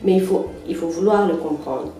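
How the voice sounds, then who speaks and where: A woman's voice: on the low side, normal speed, dark tone, faint music behind. A woman making firm, assured points in an interview. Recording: echoey room, mic some distance off.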